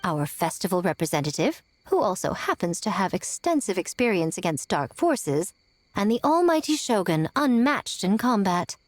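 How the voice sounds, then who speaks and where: A woman's voice: mid-range voice, sing-song, normal speed, dry recording. A woman voicing a sly, self-assured fox shrine woman in a video game, poised, teasing and eloquent. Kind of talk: scripted video game voice acting. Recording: music behind the voice.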